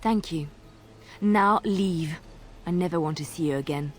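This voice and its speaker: An actress playing a wary, wronged young adventurer in a fantasy game, earnest and intense. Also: female voice, fairly low pitch, measured pace, soft background music, lightly acted. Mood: stern. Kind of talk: video game dialogue acting.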